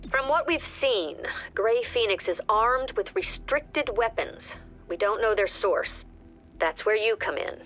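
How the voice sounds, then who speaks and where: A woman as a calm agency director. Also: mid-range voice, close to an everyday voice. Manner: measured, businesslike briefing. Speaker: director briefing a squad.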